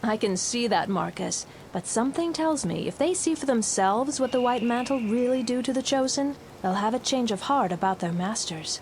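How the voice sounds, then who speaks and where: An actress playing an earnest, resolute rebel leader with formal, measured speech in a fantasy game cutscene. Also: female voice, fairly high pitch, expressive, darkish tone, a little hiss or hum. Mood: firm, explaining.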